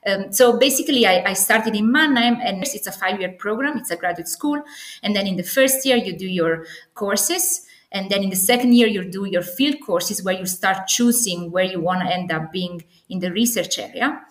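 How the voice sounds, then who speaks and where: The room rings around this woman's mid-pitched voice. She has an Italian accent and talks conversationally as an interview guest. She is enthusiastic, yet keeps pausing and rephrasing as she goes. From Naples, Italy. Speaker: podcast guest, assistant professor. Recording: echoey, roomy sound.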